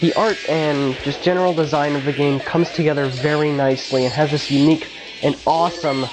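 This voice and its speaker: A man's voice: on the high side, steady tone, brisk, music in the background, low-quality recording. A young man with a congested, stuffy voice from a cold, reading a casual, slangy, enthusiastic video game review. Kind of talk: scripted game review voice-over.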